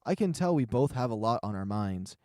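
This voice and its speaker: A man reading first-person story narration in an expressive, dramatic storytelling manner. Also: mid-range voice, fairly quick, dry recording, studio quality. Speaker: narrator and reader of a visual novel.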